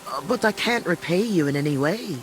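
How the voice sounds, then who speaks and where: An actress playing a frail, wistful old village widow in a medieval video game, speaking in a halting, fond way. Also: female voice, on the low side, lively intonation, slight background noise, close to an everyday voice, poor audio. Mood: touched, delighted. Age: older. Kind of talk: dramatic video game dialogue.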